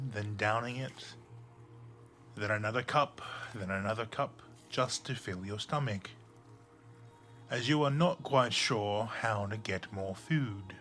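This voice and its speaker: A man narrating a scripted audio drama in the second person, deliberately, in short phrases broken by pauses. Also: medium pitch, fairly bright tone.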